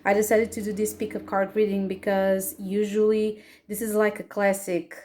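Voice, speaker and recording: A woman's voice: mid-range voice, level tone, moderate pace, mellow, a little hiss or hum. A woman from Portugal with a Portuguese accent, speaking English as a second language and hosting a casual pick-a-card tarot reading, hesitant with many fillers and restarts. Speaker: tarot reader and astrologer.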